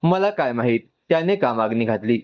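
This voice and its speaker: A man's voice: medium pitch, animated, a little fast, lo-fi sound, close to an everyday voice. A man voicing a defiant, exasperated young woman with firm, curt retorts, in a narrated family story. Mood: defiant irritated.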